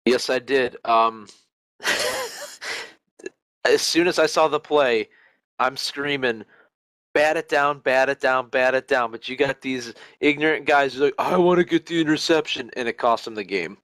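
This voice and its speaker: A man from Cleveland, Ohio, with an Ohio American accent, co-hosting a podcast in joking, animated casual chat. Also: mid-range voice, moderate pace, no room sound. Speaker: podcast co-host.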